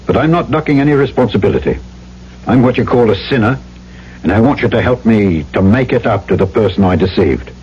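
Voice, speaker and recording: An actor playing a brash, headstrong but earnest trader, blunt and emphatic, in an old-time radio drama. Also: male voice, lowish voice, animated, a little fast, darkish tone, close to an everyday voice. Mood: insistent. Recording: muffled, faintly noisy old radio broadcast.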